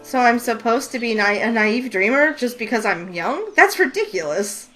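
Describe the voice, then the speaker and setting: A woman's voice: a little high, animated, moderate pace, fairly bright tone. A woman voicing an eager, curious young heroine in a game read-through, with faint music behind. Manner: eager, wide-eyed questions. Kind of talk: voice acting a visual novel.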